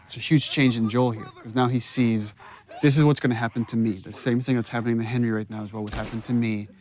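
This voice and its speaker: A man's voice: medium pitch, steady tone, fast, darkish tone, slight background noise. A man giving casual director's commentary over game cutscenes, speaking as a game writer and director.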